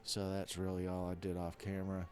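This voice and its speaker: A man with a hoarse, rough voice from a weekend of coughing, talking casually and haltingly through a strategy game. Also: deep voice, monotone, a little mellow, soft background music. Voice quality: rough-sounding voice. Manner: rambling, hesitant, many restarts. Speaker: strategy game video creator. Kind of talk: casual gameplay commentary.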